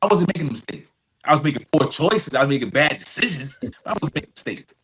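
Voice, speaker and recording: A man's voice: fairly high pitch, lively intonation, fast talker, a bit bright, husky. A middle-aged man being interviewed, telling personal stories candidly and informally, repeating phrases for emphasis. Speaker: interview guest.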